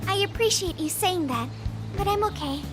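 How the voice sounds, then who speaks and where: Sweet polite rich girl, super high voice, bouncy, music in the back. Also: animated, moderate pace. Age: young adult. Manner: soft-spoken and very polite. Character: polite, gentle young woman, a university student and company heir. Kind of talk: video game voice acting. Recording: game cutscene with music behind.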